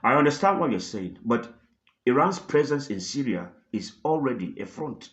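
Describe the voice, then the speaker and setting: A man voicing grave, blunt male world leaders in a retold phone call, speaking firmly and deliberately. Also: mid-range voice, lively intonation, fairly bright tone, close to an everyday voice. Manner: heavy, deliberate, firm.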